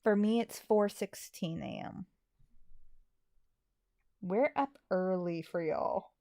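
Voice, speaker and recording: A woman's voice: mid-range voice, slow, gravelly, dark tone, no echo, clean studio sound. A tired woman chatting casually as she hosts a live stream, using mild, folksy exclamations. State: a little tired. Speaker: live stream host and amateur voice actor.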